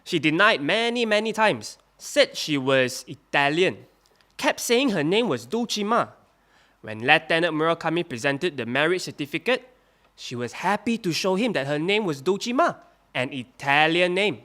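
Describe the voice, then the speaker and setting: An actor playing a male witness at a wartime trial, speaking in a halting testimony that climbs into agitated outbursts. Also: a little high, very animated, bright, forceful tone. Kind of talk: audio drama acting.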